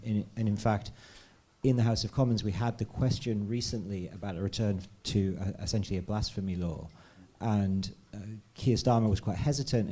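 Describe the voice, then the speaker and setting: Low, mellow moderator. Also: male voice. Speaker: panel moderator. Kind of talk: posing a question to a panel.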